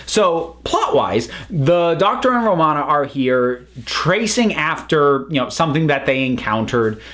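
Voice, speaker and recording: Animated higher-voiced man reviewing casually. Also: a little slow. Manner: chatty, enthusiastic, digressive. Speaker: online reviewer.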